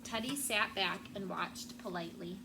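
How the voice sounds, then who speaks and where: A woman's voice: mid-range voice, normal speed. A woman schoolteacher reading a storybook aloud to children. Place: classroom. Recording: clear background noise.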